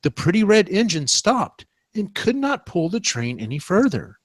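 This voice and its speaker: A man's voice: sits high, very animated, normal speed, noisy background. A man, a podcast co-host, reading a comic parody storybook aloud.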